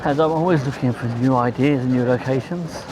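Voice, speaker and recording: London bloke chatting casually on a walk outside, bit hesitant and rambly, city noise all round him. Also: male voice, mid-range voice, brisk. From London, England. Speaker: amateur photographer, vlogger. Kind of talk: casual walking commentary. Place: outdoors on a riverside walkway. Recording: outdoor city noise behind voice.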